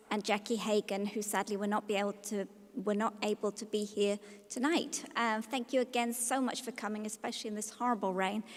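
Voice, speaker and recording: A woman host wraps up a live poetry reading with chatty, informal thanks and the odd filler. Her voice sits a little higher than most, and a long hall reverb trails each phrase. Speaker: event host. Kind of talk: closing remarks at a live event. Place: live event venue.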